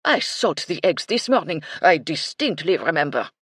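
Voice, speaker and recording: A narrator voicing an excitable, slender male chef who talks in broken English with a put-on French accent. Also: mid-range voice, moderate pace, husky, dry recording, studio quality. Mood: indignant. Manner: loud, flapping, indignant broken English.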